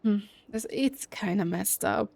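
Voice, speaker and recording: A woman streaming a game playthrough with casual, chatty commentary, musing and halting as she goes. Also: medium pitch, vocal fry, a little mellow, no echo. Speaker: streamer, let's player. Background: game music.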